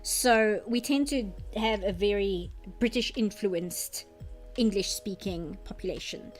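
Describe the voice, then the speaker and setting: A woman's voice: mid-range voice, slow, low-quality recording. Chatty South African woman artist streamer. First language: Afrikaans. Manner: chatty and rambling, muttering to herself as she works. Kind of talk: casual chat while drawing on stream. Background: faint background music.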